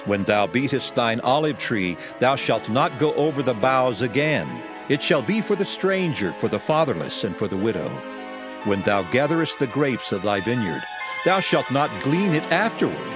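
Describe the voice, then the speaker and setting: A man's voice: medium pitch, very animated, close to an everyday voice. Man playing a solemn, sweeping biblical lawgiver. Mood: solemn, commanding. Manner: solemn, declamatory, deliberate archaic phrasing. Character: Moses, solemn male lawgiver addressing his people. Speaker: scripture reader in an audio Bible. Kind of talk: dramatized scripture reading. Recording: music under the voice. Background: music.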